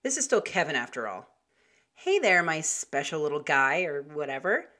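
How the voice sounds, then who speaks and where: Woman playing a lowish, snide monster tough cop. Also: very animated, normal speed, bright, forceful tone, heavily acted. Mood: mocking. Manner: snide, biting delivery. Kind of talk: puppet comedy parody of crime drama.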